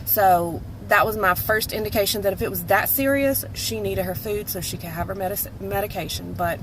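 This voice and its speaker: A woman's voice: medium pitch, brisk, noisy background. A woman in her late thirties from North Carolina with a Southern accent, telling a story in a chatty, emphatic way with lots of "like" fillers. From North Carolina, USA. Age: 30s. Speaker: vlog host. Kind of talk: casual storytelling monologue.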